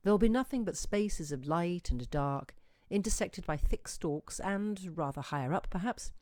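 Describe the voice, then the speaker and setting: A woman reading a short story aloud as an expressive, musing narrator with dramatic emphasis. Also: on the low side, moderate pace, no echo, clean studio sound. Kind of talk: expressive literary audiobook reading.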